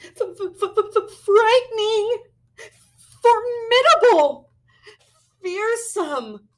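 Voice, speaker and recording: A woman voicing a word-loving storybook dinosaur with an emphatic, theatrical, drawn-out delivery. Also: very high-pitched, animated, pitched above the performer's own voice, exaggerated voice. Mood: frightened, stammering. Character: wordy young dinosaur who savours grand describing words.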